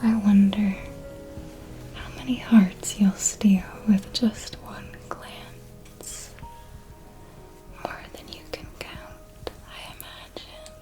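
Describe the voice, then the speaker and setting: Woman as a slow, whispering vampire seductress. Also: medium pitch, steady tone, soft background music, low-quality recording. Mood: teasing, seductive. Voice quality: breathy whisper. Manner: slow, deliberate, hushed instruction. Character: seductive, patient female vampire mentor, calmly predatory. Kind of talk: ASMR roleplay.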